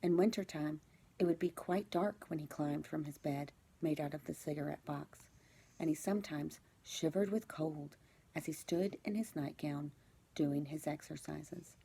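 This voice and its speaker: A woman teacher reading a children's storybook aloud, phrasing it clearly and expressively for young listeners. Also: deep voice, moderate pace, warm tone.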